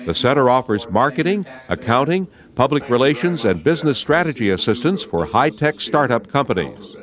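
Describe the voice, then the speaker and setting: Man, American accent, newsreel narrator, animated intonation, middle pitch, scripted delivery. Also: a little fast.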